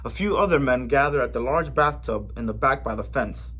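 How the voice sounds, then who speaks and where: Husky-voiced man reading a storybook. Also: medium pitch. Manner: gentle, measured read-aloud with small slips. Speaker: storybook reader for a library. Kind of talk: reading a picture book aloud.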